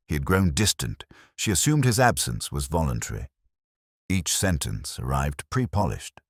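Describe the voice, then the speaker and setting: Very low male voice, expressive intonation, brooding literary narration, measured delivery, dry close sound. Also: husky, no echo. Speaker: audiobook-style narrator. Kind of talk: scripted first-person detective fiction narration.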